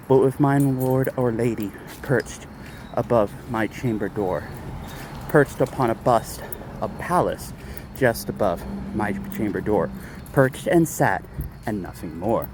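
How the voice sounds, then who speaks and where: A man, an amateur reader, recites Gothic poetry aloud in measured, dramatic phrases with pauses while out walking. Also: medium pitch. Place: outdoors, walking a dog. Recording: outdoor street noise, vehicles, jangling keys.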